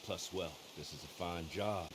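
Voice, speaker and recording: An actor playing a rough, proud, vengeful American outlaw in a western video game, plain-spoken and boastful. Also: male voice, low-pitched, unhurried, background noise. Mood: aggrieved. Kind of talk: video game cutscene acting.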